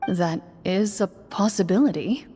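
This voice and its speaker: Actress doing serious formal young duelist woman, video game, deadpan n measured, big reverb, music behind. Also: mid-range voice, close to an everyday voice. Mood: matter-of-fact. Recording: long reverb, music underneath.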